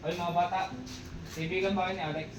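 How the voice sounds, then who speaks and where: A bossy father played by a man in a school stage drama, with a fairly high voice. The recording is echoey and full of loud noise. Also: level tone, fast talker, far from the mic, natural voice. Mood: hospitable. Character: gruff, domineering father hosting a birthday. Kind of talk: amateur student stage acting.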